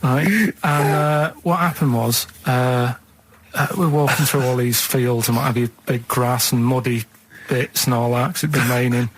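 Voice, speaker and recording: Male co-host, mid-pitched, plain-spoken and rambling, telling everyday stories in loose conversational fashion. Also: rough recording. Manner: rambling, plain-spoken, adds "right?" as tag. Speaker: radio show co-host. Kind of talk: casual anecdote telling.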